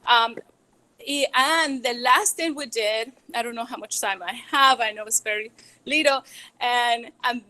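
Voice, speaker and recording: A woman with a Venezuelan Spanish accent speaks in a bright, slightly high voice. She talks nervously and haltingly, restarting her words often as she presents her small business. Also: low-quality recording. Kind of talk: presentation to educators.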